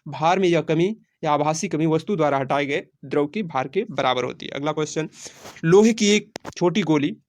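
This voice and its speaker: A man's voice: a little high, normal speed. A man teaching a quiz-style lesson, repeating phrases and answering his own questions. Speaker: teacher of exam-prep science class.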